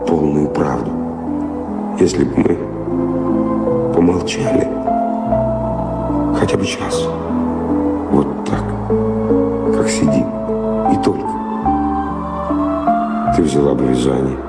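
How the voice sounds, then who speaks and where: An actor in an audio drama playing an evasive, weary, dreamy middle-aged wanderer who speaks in a measured, reflective way with pauses. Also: male voice, rumbling low. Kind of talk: theatrical audio drama dialogue. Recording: reverberant stage-like sound, loud music behind.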